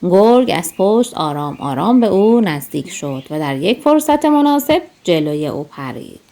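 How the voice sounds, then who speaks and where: A woman narrating a scripted children's story in an expressive, storytelling manner. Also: fairly low pitch.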